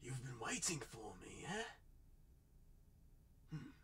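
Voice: medium pitch, big pitch swings, slow, crowd noise. An actor playing a drunken, cruel and lecherous squire, blustering, taunting and leering in old-fashioned radio drama style.

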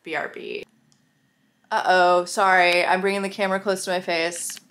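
A woman's voice: lowish voice, moderate pace. Nasal, apologetic woman vlogging from her bedroom. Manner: apologetic and rambling, animated hand gestures. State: sick, a bit nasal. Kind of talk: casual vlog, talking to camera. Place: bedroom with couch, indoors. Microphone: camera mic at arm's length.

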